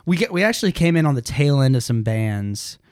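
A man in his late twenties, a singer and guitarist, telling his band's story in a halting way with many pauses and restarts. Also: mid-range voice, sing-song, darkish tone, no echo, studio quality. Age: late 20s. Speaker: band singer and guitarist, guest. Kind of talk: casual interview storytelling.

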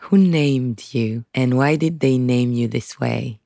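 Very deep, gravelly woman interviewer. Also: takes it slowly, warm tone, no echo. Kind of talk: interview questions.

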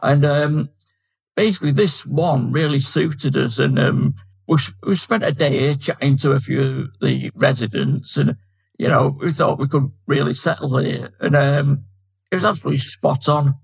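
Husky, gravelly older man with a Manchester accent. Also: mid-range voice. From Manchester, England. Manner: chatty, friendly, fills pauses with um. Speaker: podcast guest, resident. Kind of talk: casual chat.

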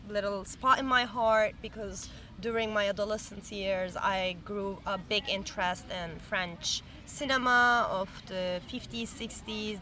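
A woman, co-hosting a casual podcast in an animated, chatty way, recorded outdoors in a city park. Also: mid-range voice. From Turkey. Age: 30s. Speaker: podcast co-host. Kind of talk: casual chat. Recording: faint outdoor traffic noise.

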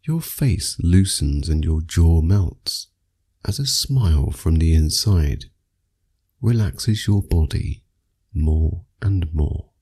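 A man narrating a scripted guided meditation for sleep, speaking soothingly and in measured phrases with long pauses. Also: very deep voice, lively intonation, mellow, slightly rough.

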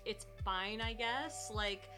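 A woman's voice: fairly high pitch, slow, over music. A woman in casual, slangy conversation, reviewing films on a podcast. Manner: informal, slangy. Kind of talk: casual film review chat.

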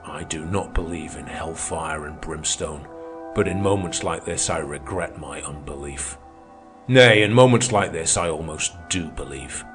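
A man's voice: a bass voice, a little slow. A man voicing a fiery, defiant socialist orator who denounces his opponents in a thundering, declamatory way. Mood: denouncing. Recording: music playing underneath.

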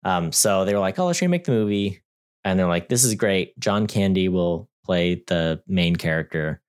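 A man's voice: deep voice, normal speed, smooth. A man co-hosting a podcast, chatting casually in a wry, joking way, rambling with many fillers and restarts. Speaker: podcast co-host. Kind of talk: casual chat.

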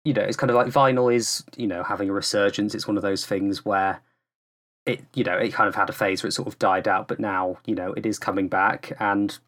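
A man's voice: lowish voice, steady tone, a little fast, a bit bright. Rambling man chatting as a podcast guest. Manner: rambling, loosely hedged explanations. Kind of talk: casual chat.

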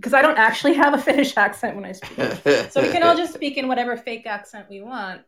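A woman's voice: on the high side, expressive, fast talker, fairly bright tone. Chatty woman telling anecdotes. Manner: chatty, laughing, rambling anecdotes. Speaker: podcast host and musician. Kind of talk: casual chat.

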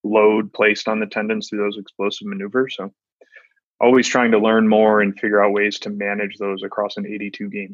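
A male sports scientist talking about his work in a flat, hesitant way with many uhs, over a remote call. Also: lowish voice, no echo, dull audio. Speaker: physical therapist and sports scientist. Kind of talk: panel podcast discussion.

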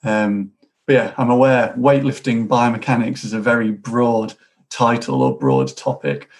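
A man hosting an online lecture series, warmly introducing his guest. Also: mid-range voice, a little slow. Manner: friendly, enthusiastic introductions.

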